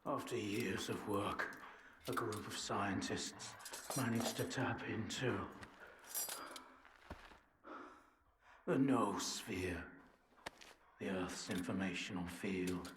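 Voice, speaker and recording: An older scientist in a game cutscene, brooding and bitter. His low voice swings theatrically through a sardonic monologue. It sounds roomy, with clear noise behind it. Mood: grave, grand. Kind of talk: dramatic video game monologue.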